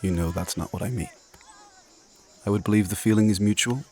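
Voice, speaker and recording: A man playing an ancient immortal spirit mentor in a dark fantasy audio drama, speaking in measured, formal, old-fashioned phrases with solemn, knowing authority. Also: lowish voice, natural voice.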